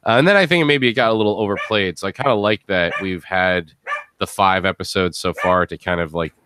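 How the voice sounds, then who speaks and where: A man with a fairly low voice hosts a casual podcast panel. He is jokey and easygoing in his wording, chatting informally with guests. The recording carries faint noise and small clicks. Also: fairly quick. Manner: jokey, prone to laughing. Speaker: podcast host and voice actor.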